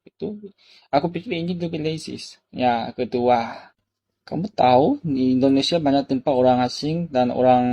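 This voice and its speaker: A man with a Korean accent, a native Korean speaker, talks as a casual vlogger. His voice is soft-edged and mellow at a middle pitch. He tells stories in simple, repetitive phrases and often puts questions to the listener. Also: lo-fi sound. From South Korea. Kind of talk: casual personal storytelling to camera.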